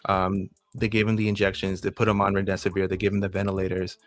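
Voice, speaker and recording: A man's voice: sits low, completely flat delivery, fast talker, faint music behind. A man co-hosting a casual live stream chat, talking in an earnest, emphatic way with some swearing. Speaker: co-host.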